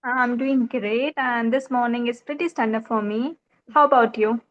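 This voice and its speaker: Young Indian woman, Indian English accent, high voice, earnest and hesitant, muffled call audio. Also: steady tone, normal speed. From Uttar Pradesh, India. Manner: earnest, hesitant, frequent restarts, polite. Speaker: English learner. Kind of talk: casual video call conversation.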